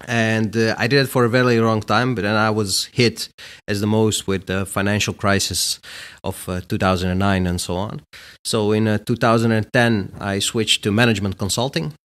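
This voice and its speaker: A man's voice: fairly low pitch, steady tone, moderate pace, hardly any pauses, a bit bright, smooth. A middle-aged man from Bosnia, a guest answering interview questions in a casual, hesitant way with many fillers. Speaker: guest, company executive.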